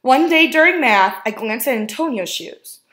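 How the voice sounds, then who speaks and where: A woman with a slightly high voice reads a children's storybook aloud. She leans on key words with deliberate, dramatic emphasis. The recording is roomy and clearly echoing. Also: lively intonation, fairly bright tone. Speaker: teacher reading a picture book aloud. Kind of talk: expressive read-aloud storytelling of a children's book. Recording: roomy, echoing indoor sound.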